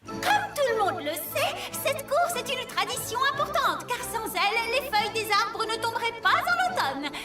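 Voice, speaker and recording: A woman voicing a bubbly, excitable young female pony announcer in an animated cartoon, chirpy and exuberant. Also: very high voice, normal speed, pauses often, roomy sound, recorded from a distance. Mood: excited announcing. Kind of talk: animated cartoon dubbing, race commentary. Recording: loud music behind the voice.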